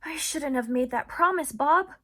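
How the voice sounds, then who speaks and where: A woman's voice: high voice, lively intonation, normal speed, fairly bright tone, pitched above the performer's own voice. A woman voicing an anguished, protective male gorilla in an emotional, emphatic storybook read-aloud. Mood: despairing.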